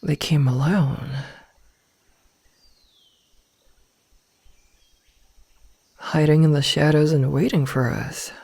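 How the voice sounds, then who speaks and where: A woman voicing a possessive, coolly menacing female werewolf omega in an ASMR roleplay, speaking formally and deliberately. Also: low voice, steady tone, warm tone, no room sound, full character voice. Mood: commanding, wary. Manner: slow, deliberate, formal, with breathy whispered asides.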